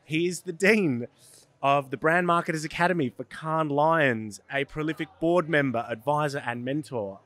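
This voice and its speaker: A man's voice: on the high side, normal speed, a bit bright. A man hosting an interview, asking questions in an upbeat, conversational way with frequent "um"s. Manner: upbeat, many listener "hmm"s and "um"s. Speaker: podcast host, interviewer.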